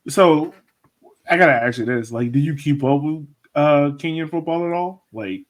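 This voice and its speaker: A man's voice: on the high side, moderate pace. Casual, slangy male podcast host. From Jamaica. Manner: informal, slangy, enthusiastic. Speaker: podcast host interviewing a guest. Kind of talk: casual, conversational interview.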